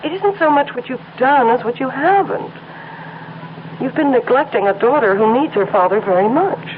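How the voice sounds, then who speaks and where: A worried wife who talks calmly and reproaches gently. Her voice sits at a middle pitch. The sound is a thin, phone-like old broadcast with a faint hiss. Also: normal speed. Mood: concerned. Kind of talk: 1970s radio drama acting.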